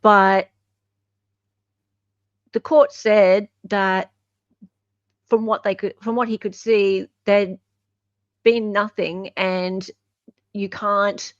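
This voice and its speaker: Slow, halting Australian woman hosting. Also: medium pitch, flat delivery, no room sound, dull audio. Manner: halting, searching for words. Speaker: livestream host. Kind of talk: casual unscripted commentary.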